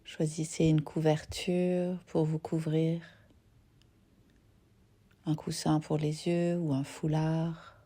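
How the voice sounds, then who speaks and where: A woman teaching yoga nidra, guiding a relaxation gently and unhurriedly in short phrases with soft, breathy endings. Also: low voice, little pitch movement, takes it slowly, long pauses. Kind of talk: guided relaxation meditation.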